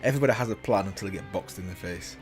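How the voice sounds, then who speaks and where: A man's voice: low-pitched, rapid-fire, over music. A man hosting a reaction video, giving casual, enthusiastic commentary and often repeating himself. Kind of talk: casual commentary on a game character.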